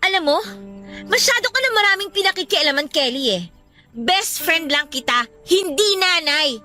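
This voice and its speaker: A woman's voice: very high-pitched, animated, normal speed, sharp tone, exaggerated voice. A woman playing a defensive, resentful student snapping sarcastically at a best friend in a radio drama. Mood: defensive, sarcastic. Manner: sarcastic, confrontational. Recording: music bed under the voice.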